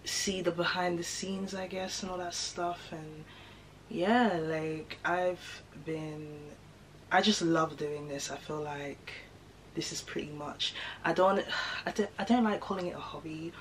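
Casual young woman vlogger, deep-set low voice, rambling fillers, faint room noise. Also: fairly even intonation, measured pace, rough recording. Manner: chatty, rambling, lots of fillers. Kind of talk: casual vlog chat to camera.

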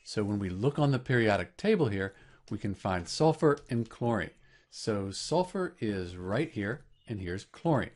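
Man teaching chemistry with lively intonation. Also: lowish voice, unhurried. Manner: clear step-by-step explaining. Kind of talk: scripted educational narration.

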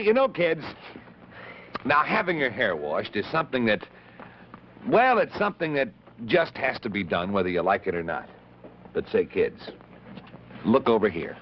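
Man, thirties, upbeat children's presenter, lively pitch swings, dull vintage television sound. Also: normal speed, pauses often, slight background noise. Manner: animated, addresses children directly. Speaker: children's show host.

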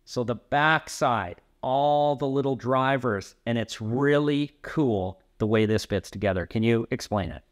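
A man in his fifties presents as the host of an interview piece. His pitch sits in the middle of the male range. The sound is dry, with very little room around the voice. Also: unhurried, hardly any pauses, no echo.

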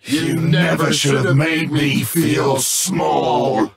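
Petulant fallen game villain, high gravelly voice, super melodramatic, draws words out. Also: male voice, level tone, exaggerated voice. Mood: vengeful fury. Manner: melodramatic, drawn-out, emphatic. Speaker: video game voice actor. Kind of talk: dramatic animated game acting.

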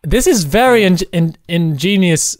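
Man, excitable gamer chat, jokey, lively pitch swings, dry close recording. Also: unhurried, no room sound. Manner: jokey, exclaiming. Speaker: gamer playing a co-op roleplay map. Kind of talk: excitable casual gameplay chat.

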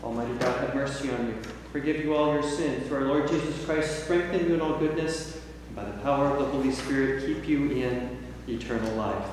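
An older man, a priest, welcoming the congregation and reciting liturgical prayers in a measured, solemn way, recorded in a large church from a distant camera. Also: mid-range voice, steady tone, a little hiss or hum. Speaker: priest leading worship. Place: large church sanctuary, indoors. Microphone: far camera. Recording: echoey church from far off.

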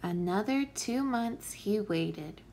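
A woman reads a children's story aloud at an unhurried pace. Her mid-pitched voice has a noticeable fry, and she places her emphasis carefully, like a teacher with a picture book. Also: animated, dark tone, a little hiss or hum.

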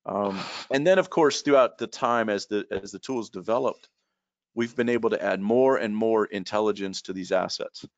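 A middle-aged man, a trainer telling stories in a relaxed, rambling way, repeating words and using many fillers, heard over a video call from a room at home. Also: on the low side, moderate pace, dry recording. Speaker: guest expert and trainer. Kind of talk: casual storytelling in a video call. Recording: dull lo-fi call audio.

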